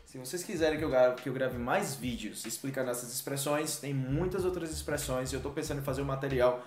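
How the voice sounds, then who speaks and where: Fast-talking man teaching slang. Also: medium pitch, echoey room, faint music behind. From Brazil. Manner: quick, chatty, says "ok?" often. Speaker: language teacher host. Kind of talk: casual teaching chat.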